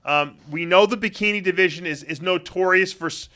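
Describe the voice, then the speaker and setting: A middle-aged man runs a casual interview with a bright, forceful, slightly high voice. He teases his guest in a chatty way and often stumbles and restarts his questions. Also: a little fast. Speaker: interviewer, show host.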